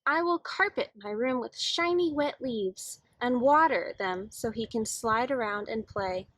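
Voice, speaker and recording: Woman reading as an eager little boy, high-pitched. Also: lively intonation, measured pace, a bit bright, no room sound, low-quality recording. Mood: eager, dreamy. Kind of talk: children's picture-book read-aloud.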